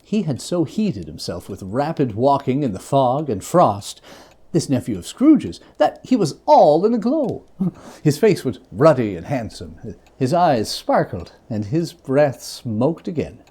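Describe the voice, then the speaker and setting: Animated dramatic reader of a classic story. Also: mid-range voice, unhurried, husky.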